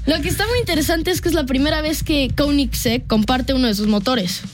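A woman's voice: high voice, a little fast, faint music behind. A teenage girl earnestly explaining a car on a talk show. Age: teens. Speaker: guest presenting cars.

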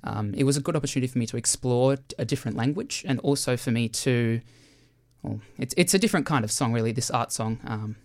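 A man's voice: mid-range voice, fast, a little mellow. A young man, a student singer, answering interview questions thoughtfully, with hesitant ums.

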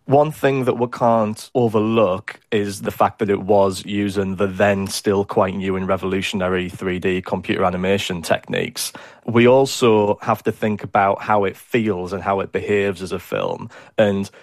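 A man's voice: on the low side, fairly even intonation, moderate pace, no echo, studio quality. A man with a British accent giving explanatory answers as an academic guest in a radio interview. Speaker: animation historian, interview guest.

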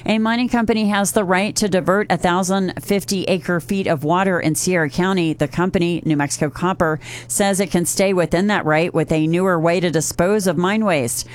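A woman's voice: fairly low pitch, fairly even intonation, brisk, hardly any pauses. An American woman working as a radio news anchor, reading scripted reports in a studio. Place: radio studio, dry and close.

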